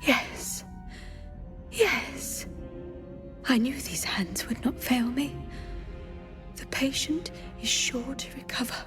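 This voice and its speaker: A slow, fairly high-pitched, creepy female healer from a fantasy game. She sounds hushed, measured and serenely self-satisfied, with music behind her. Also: expressive. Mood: proud, deluded. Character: eerie, deluded female healer, serenely proud. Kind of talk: video game acting.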